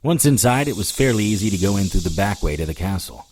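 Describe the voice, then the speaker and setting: A man's voice: lowish voice, animated, fast talker, a little mellow, slight background noise, natural voice. A man playing a wry, hard-boiled hero, narrating a scripted audio drama in the first person with dry sarcasm. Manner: dry, sardonic. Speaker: narrator and lead character.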